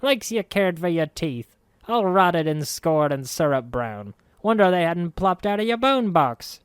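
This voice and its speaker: A man's voice: fairly high pitch, big pitch swings, moderate pace, clear, resonant tone, dry recording, clean studio sound, voice pushed up. A man voicing a sour, lumbering old woman who mutters to herself in a sing-song voice. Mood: indignant.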